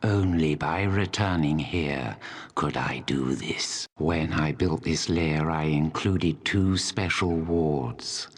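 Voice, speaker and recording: An actor playing an ancient, proud and embittered dragon in a fantasy video game, speaking in a solemn, deliberate, coldly menacing way. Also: male voice, very deep voice, slightly rough, heavily acted. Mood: solemn, unrepentant. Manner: slow, deliberate, solemn delivery.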